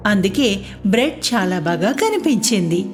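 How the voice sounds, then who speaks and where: A woman's voice: mid-range voice, sing-song, moderate pace, music in the background. A woman storyteller reading scripted narration for a children's moral tale.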